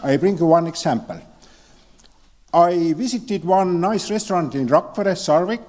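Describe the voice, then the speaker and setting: A man, a native Estonian speaker, giving a relaxed, lightly joking conference talk as a member of parliament, with some hesitation. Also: high-pitched. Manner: relaxed, joking, slightly halting. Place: conference hall. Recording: hall reverb in a large venue.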